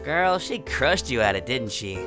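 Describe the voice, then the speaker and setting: A woman's voice: a bass voice, sing-song, unhurried, music in the background, full character voice. A woman playing a teasing, sardonic young woman in a fan audio drama, playful and informal.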